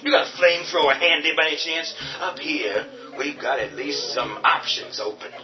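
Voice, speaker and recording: A man's voice: a little high, animated, moderate pace, natural voice. An actor playing a hard, commanding man who defends a boarded-up farmhouse and turns to menacing whispers. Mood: firm. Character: tough defiant man holding a farmhouse. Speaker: Ben. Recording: dull distant audio over music.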